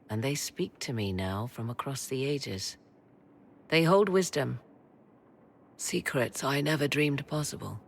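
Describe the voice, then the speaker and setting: An actress playing a haughty, mysterious sorceress in a fantasy game, speaking in a formal, proud, theatrical manner. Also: female voice, very deep voice, vocal fry, a little hiss or hum, heavily acted. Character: haughty, mysterious sorceress steeped in ancient lore. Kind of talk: dramatic fantasy game acting.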